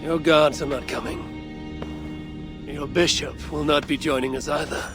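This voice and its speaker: A man plays a stoic Norse raider in a game, a fairly high male voice. The delivery is terse, guarded and measured, with loud music behind it. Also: fairly even intonation, lightly acted. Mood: calm, firm. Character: stoic, blunt Viking raider from the Raven clan. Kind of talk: video game cutscene drama.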